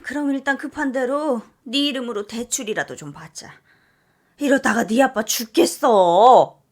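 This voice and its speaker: A woman voicing a selfish middle-aged mother who scolds her daughter in a high, loud, reproachful tone. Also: normal speed, natural voice. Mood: pleading.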